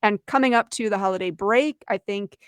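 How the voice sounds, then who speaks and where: A woman's voice: mid-range voice, brisk, clear, resonant tone, no echo. A woman co-hosts an education webinar with a mid-range voice. She talks conversationally, earnest and encouraging as she offers recommendations. The recording is close and free of room sound. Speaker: educator and co-host.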